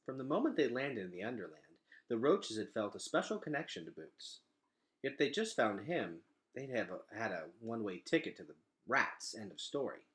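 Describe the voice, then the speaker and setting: A man reading a children's novel aloud as an expressive storyteller. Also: mid-range voice.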